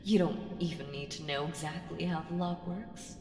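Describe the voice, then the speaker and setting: A woman playing an ancient evil entity in an ASMR audio roleplay, coaxing and condescending, commanding and seductive, with deliberate delivery that slips into whispers. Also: deep voice, a little mellow, soft background music. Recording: roomy long reverb with clear background noise.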